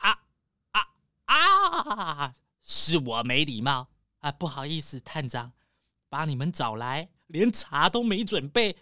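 A woman's voice: low-pitched, sing-song, takes it slowly, sharp tone, no echo, studio quality, full character voice. A woman playing a nervous, paranoid male squirrel tycoon in a children's audio detective story, stammering and exclaiming dramatically. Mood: flustered, apologetic.

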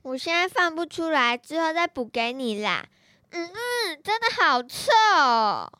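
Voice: high voice, very animated, a little slow, noisy background. A child acting in a children's radio drama as a clingy, gullible little brother, eager and childlike. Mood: teasing.

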